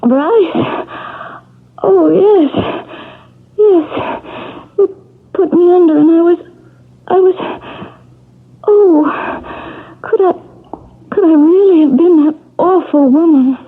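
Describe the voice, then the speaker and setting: Tearful frightened young woman, halting and breathless, radio drama. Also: extremely high pitch, heavily acted. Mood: distraught. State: crying. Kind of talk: 1970s radio drama acting. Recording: tinny hissy old radio broadcast.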